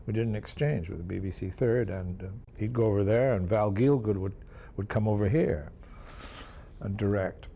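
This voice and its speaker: Older male interviewee, low creaky voice, emphatic admirer, some ambient noise behind him. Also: moderate pace, mellow. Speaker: interviewee recalling radio drama history. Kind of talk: admiring reminiscence. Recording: noticeable background ambient noise.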